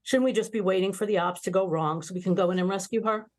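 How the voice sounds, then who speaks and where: Woman acting a brash young female officer, blunt, sardonic, mid-pitched, animated sci-fi comedy script read. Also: quick pace, lightly acted. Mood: sarcastic.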